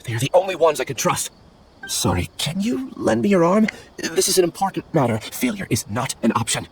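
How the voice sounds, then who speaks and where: An actor playing a distressed, urgent male scholar on the run in a video game, halting and pleading. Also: on the high side, big pitch swings, creaky, a little hiss or hum. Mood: weak, insistent.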